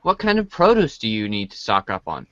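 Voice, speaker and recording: A man's voice: fairly low pitch, very animated, a little fast, a bit bright, no room sound, lo-fi sound. A man reading a comic story aloud as part of a podcast reading group. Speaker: podcast reader. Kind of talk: dramatic reading of a webcomic aloud.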